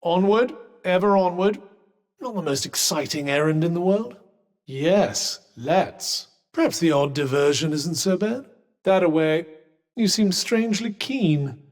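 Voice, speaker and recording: A man's voice: high voice, lively intonation, unhurried, long reverb tail. An actor playing a wry, teasing male magic cuff in a game, speaking in a well-spoken, sardonic way. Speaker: game companion character. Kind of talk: game voice lines.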